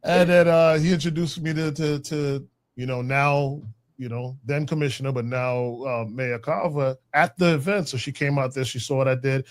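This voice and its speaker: A male podcast co-host in casual conversation, his voice sitting at an ordinary middle pitch. He talks in a relaxed, slangy way, restarting phrases as he tells a story. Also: normal speed. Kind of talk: casual chat.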